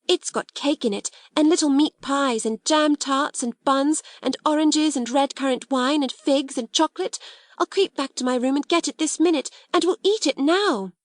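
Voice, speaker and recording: Woman as an eager, high-voiced young schoolgirl. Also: animated, moderate pace, few pauses, darkish tone, no room sound. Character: eager, warm-hearted schoolgirl, impulsive and generous.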